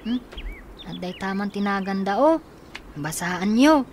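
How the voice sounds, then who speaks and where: A woman's voice: medium pitch, lively intonation, unhurried, a little mellow, slight background noise, close to an everyday voice. A woman playing a responsible young boy, a protective older brother, in a radio drama, speaking earnestly. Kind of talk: Ilocano radio drama acting.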